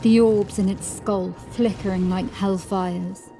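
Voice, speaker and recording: A woman reading scripted horror fiction as narrator, in a dramatic, measured storytelling manner. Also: mid-range voice, fairly even intonation. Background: music.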